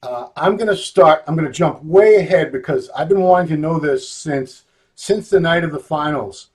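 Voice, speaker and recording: A man's voice: on the high side, normal speed, a little mellow. A man hosting an interview, chatty and playful, joking with his guest. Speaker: interview host. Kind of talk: casual interview chat.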